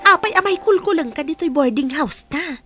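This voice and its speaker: A woman with an Ilocano Filipino accent playing a teasing, excitable young housemate in a comedy radio drama, exclaiming and giggly. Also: high voice, moderate pace, music in the background. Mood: teasing, curious.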